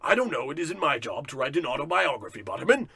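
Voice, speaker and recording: An actor playing a pompous, cruel, greedy rich boss, bombastic and scornful, in a parody of old radio drama. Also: male voice, a little high, very animated, fast talker, pushed and bright, breathy edge, no room sound, exaggerated voice. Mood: smug, bossy.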